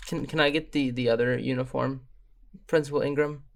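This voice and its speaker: A man as a player in a tabletop game, casually roleplaying in a hesitant, halting way. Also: mid-range voice, steady tone, gravelly.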